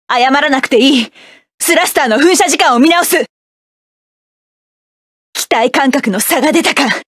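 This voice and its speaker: An actress voicing a proud, tough woman mech pilot in a game, with sharp, self-assured combat lines. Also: high-pitched, no echo, studio quality. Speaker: game character.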